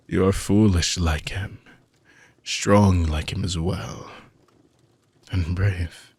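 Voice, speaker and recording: A man playing an ancient, weary dark mage villain in a fantasy audio roleplay, husky and gravelly, speaking theatrically with long dramatic pauses. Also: deep voice, no room sound, exaggerated voice. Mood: regretful, tender. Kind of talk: fantasy audio roleplay monologue addressed to listener.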